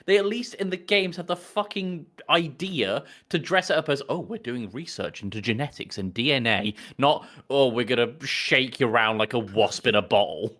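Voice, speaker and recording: A man's voice: medium pitch, normal speed, hardly any pauses, fairly bright tone. A man co-hosting a podcast with casual comedic banter, incredulous and sarcastic in manner. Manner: incredulous, sarcastic, laughs often. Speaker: podcast co-host.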